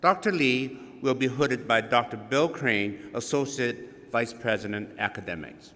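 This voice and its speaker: A man reads out a formal degree conferral in a stately, ceremonial manner. His tone is bright and forceful, mid-pitched. His voice rings with long reverb from a large hall. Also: animated, measured pace. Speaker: university official conferring degrees.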